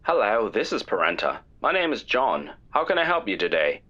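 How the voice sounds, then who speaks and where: A polite male hotline operator played by a man in an audio drama. His voice is bright and lively in pitch, with a businesslike manner. The sound is dull and lo-fi. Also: moderate pace, heavily acted. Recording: dull lo-fi phone-line sound.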